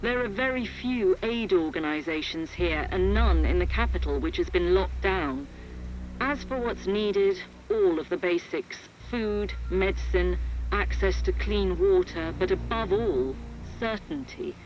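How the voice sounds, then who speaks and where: An actress playing a composed, serious war correspondent, giving a measured and articulate scripted report over a radio link. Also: female voice, fairly low pitch, expressive, muffled recording, soft background music. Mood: grave, measured. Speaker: field reporter. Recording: noisy radio field report.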